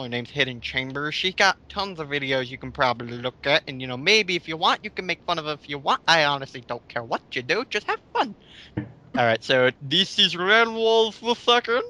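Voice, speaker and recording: A man's voice: on the high side, pushed and bright. A man co-hosting a casual commentary show, sarcastic and sweary as he chats and mockingly reads things out. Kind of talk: casual chat and mocking read-aloud of online comments.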